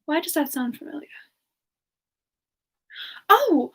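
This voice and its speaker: Woman, high voice, unhurried delivery, halting and giggly, for an in-character semi-ASMR livestream chat. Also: big pitch swings, pushed and bright. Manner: halting, musing aloud, giggly. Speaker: livestream host in character, answering viewer questions.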